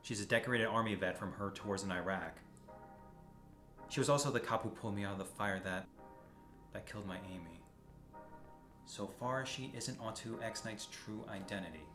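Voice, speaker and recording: A man's voice: on the low side, normal speed, pauses often, bright, forceful tone. A man playing a determined, brooding masked vigilante in an audio drama, grim and resolute. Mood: somber. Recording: roomy echo, music bed underneath.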